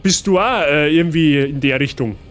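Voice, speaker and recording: A man's voice: on the high side, moderate pace, a bit bright. A man with a Bavarian accent giving casual, chatty and playful live gaming commentary in colloquial speech. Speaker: streamer.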